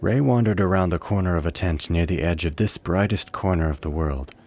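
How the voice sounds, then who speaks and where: A man narrating a radio play with measured, literary dramatic storytelling. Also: deep voice, darkish tone.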